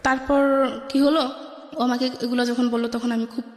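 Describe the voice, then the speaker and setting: Woman, high voice, Bangladeshi accent, colloquial personal storytelling, quotes conversations, long reverb. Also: little pitch movement, moderate pace, darkish tone. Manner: recounts conversations by quoting both sides. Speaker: guest telling her life story.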